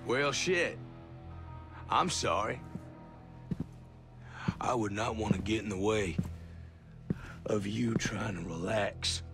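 An actor playing a menacing military commander in a video game, sardonic and profane, swinging between hushed and shouted delivery. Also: male voice, fairly low pitch, slow pace, vocal fry, pauses often, over music. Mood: mocking. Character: menacing military commander berating his drunken elite soldiers. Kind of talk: cinematic video game acting.

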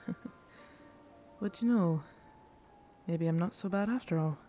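An actor playing a gruff, guarded yet tender pirate captain in an intimate ASMR roleplay, speaking haltingly. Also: medium pitch, mellow, faint music behind, close to an everyday voice. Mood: awkward, softly teasing. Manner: slow, hesitant, halting, rough pirate phrasing. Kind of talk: ASMR roleplay audio drama, intimate second-person scene.

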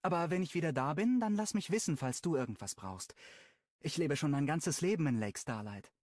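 A man voicing a chatty young woman, pitched high. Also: lively intonation, brisk, no echo, clean studio sound. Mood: friendly. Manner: raised, bright-sounding delivery. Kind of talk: audiobook dialogue reading.

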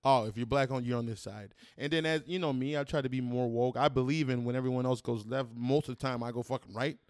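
A man's voice: medium pitch, fast, dry recording, clean studio sound. A man on a podcast, rambling loosely about his opinions in slangy speech. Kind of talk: casual political chat.

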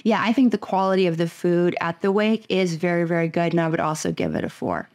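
A woman with a low-pitched voice hosts a casual travel chat, rating cruise restaurants one by one. She talks in an upbeat way and keeps things moving with questions. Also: lively intonation, fairly quick, darkish tone. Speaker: travel vlogger.

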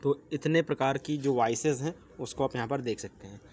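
A man's voice: medium pitch, a little fast, darkish tone. A man, presenting like a tech channel host in a chatty, instructional way. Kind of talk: presenter-style app tutorial to viewers. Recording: clearly noisy amateur recording.

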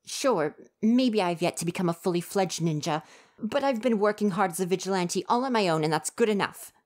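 A woman's voice: mid-range voice, expressive, brisk, a little mellow, close to an everyday voice. A woman voicing a young male would-be ninja in an ASMR roleplay, defensive and flustered but earnest underneath.

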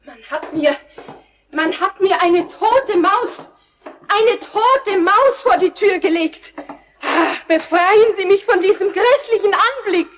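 A woman playing an easily shocked, indignant mother in a radio play, shrill and theatrically outraged. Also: expressive, slow pace, a bit bright, heavily acted. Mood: horrified. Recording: faint noise on old record.

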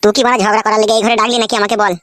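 Woman playing a fast-talking quarrelsome village husband. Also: mid-range voice, flat delivery, fairly bright tone, close to an everyday voice. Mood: defiant, scolding. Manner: scolding, coarse, swearing. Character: crude, quarrelsome village husband with two wives. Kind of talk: comic cartoon dialogue.

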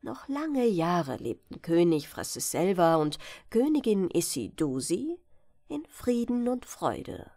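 A woman's voice: on the low side, warm tone, no echo. A woman narrating a bedtime fairy tale as an audiobook reader.

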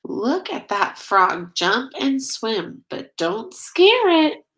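A woman's voice: on the high side, very animated, drawn-out words, fairly bright tone. A middle-aged woman reading a picture book aloud as a teacher, expressively, drawing out key words and prompting young listeners with questions. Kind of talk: children's read-aloud.

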